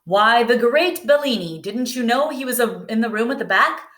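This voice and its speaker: A woman voicing an excitable, calculating male theatrical agent in a storybook read-aloud, showy and exclamatory. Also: a little high, normal speed, pitched above the performer's own voice. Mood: amazed. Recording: roomy indoor echo.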